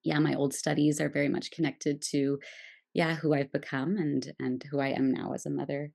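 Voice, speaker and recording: A woman's voice: low-pitched, level tone, moderate pace, vocal fry, darkish tone. A woman in her thirties with a Canadian accent, talking reflectively about herself as a podcast guest in a thoughtful, hesitant, rambling way. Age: 30s. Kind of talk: reflective personal conversation.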